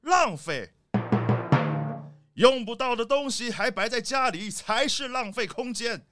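Casting: man as vain cartoon leopard tycoon, impatient and breezy, high voice with animated swings, for a kids' radio play. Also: unhurried, over loud music. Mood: dismissive, annoyed. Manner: self-important, breezy, dismissive. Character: vain, impatient, rich male leopard big shot, a father. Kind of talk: children's audio drama acting.

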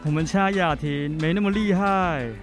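A man's voice: a little high, moderate pace, faint music behind, lightly acted. An amateur actor, a man, playing a joking father who runs a night-market food stall in a children's radio drama. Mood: joking, self-deprecating.

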